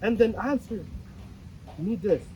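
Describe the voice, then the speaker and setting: Man acting anguished high-voiced philosophizing stage character. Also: mellow, a little hiss or hum, low-quality recording. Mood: pleading, accusing. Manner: declamatory, deliberate with dramatic pauses. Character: anguished, philosophizing male character pleading with a gentleman. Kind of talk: staged dramatic reading of a play.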